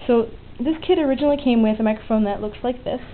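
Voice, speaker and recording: A woman's voice: a little high, quick pace. A woman giving a casual, unscripted hands-on demonstration, explaining things in an informal and frank way. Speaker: DIY electronics hobbyist presenting a tutorial. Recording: muffled phone-like lo-fi audio with faint noise.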